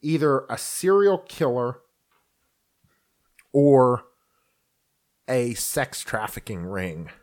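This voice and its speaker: A man co-hosting a podcast, telling a true-crime story in casual chat, slowly and haltingly with many fillers. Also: mid-range voice, big pitch swings, darkish tone. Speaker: podcast co-host.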